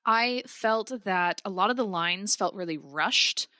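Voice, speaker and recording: A woman co-hosting a podcast, giving opinions in an informal, expressive review chat. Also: mid-range voice, measured pace, dry recording, studio quality. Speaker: podcast co-host.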